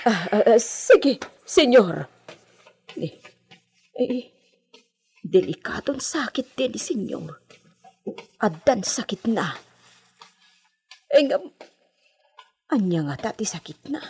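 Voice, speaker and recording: An anxious maidservant in an Ilocano radio drama. She has an Ilocano accent and talks slowly in a slightly breathy voice, hesitant and deferential to her employer. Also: medium pitch, big pitch swings, a little mellow, heavily acted. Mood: flustered, deferential. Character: deferential, anxious female house servant.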